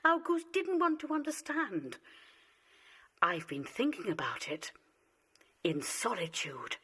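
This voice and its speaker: A woman playing a slow, theatrical, emotional mother. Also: medium pitch, very animated, a bit bright, husky, full character voice. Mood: tearful, confiding. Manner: theatrical, deliberate, dramatic pauses. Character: anxious, emotional wife and mother, superstitious, pleading. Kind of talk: radio play acting.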